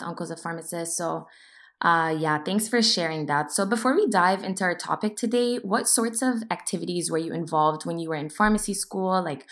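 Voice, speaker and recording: A young woman in her twenties co-hosting an interview podcast, reading a clear intro and then asking organized questions. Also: on the low side, a bit bright. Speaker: podcast co-host.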